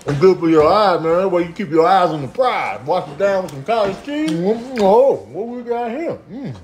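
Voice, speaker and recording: A man's voice: sits high, lively intonation, normal speed, a little mellow, slight background noise, voice pushed up. A man voicing a greedy, laid-back cow in a comedy animal dub, slangy and savoring, mumbling between bites. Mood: relaxed, savoring.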